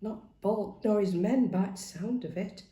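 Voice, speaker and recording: A woman reading a novel aloud as dramatic, expressive narration. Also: medium pitch, a little slow. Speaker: story reader. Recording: roomy, echoing sound.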